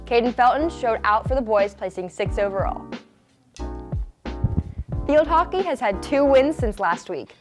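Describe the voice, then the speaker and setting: A woman's voice: fairly high pitch, expressive, unhurried, long pauses, over music. A young woman reading the school sports updates from a script with an upbeat, slangy delivery. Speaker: student sports reporter.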